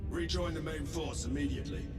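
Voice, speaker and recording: A man playing a battle-hardened, high-voiced space marine. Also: fairly quick, lightly acted. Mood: severe. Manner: terse, military. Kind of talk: video game cutscene acting. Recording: loud music over the voice.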